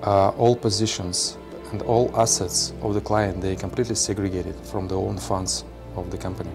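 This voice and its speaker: Man in his forties, low voice, measured answers, halting pauses, background music. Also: steady tone, gravelly. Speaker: company representative. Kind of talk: interview answers.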